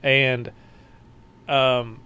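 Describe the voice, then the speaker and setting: A man's voice: medium pitch, extremely slow, clear, resonant tone. Laid-back man talking games. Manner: relaxed gamer talk with fillers. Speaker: podcast guest. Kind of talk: casual chat.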